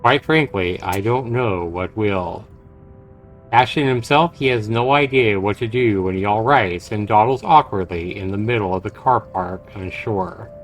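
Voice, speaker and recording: A man reading a visual novel aloud on a live game stream, with some halting pauses and stumbles. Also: lowish voice. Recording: game music behind. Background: video game music.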